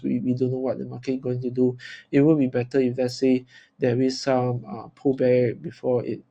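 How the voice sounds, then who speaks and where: A man from Singapore with a Singaporean accent gives informal commentary as a trader, in a halting, repetitive, stop-start way. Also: mid-range voice, dark tone, highs cut off. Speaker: forex trader presenting market analysis. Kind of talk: informal live chart commentary.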